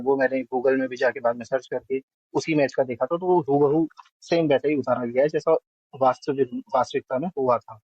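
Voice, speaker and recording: A man speaks as a film review panelist, working through his assessment point by point. His voice sits at a middle pitch, and the recording is dry and slightly dull, with little room sound. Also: darkish tone, husky, no room sound.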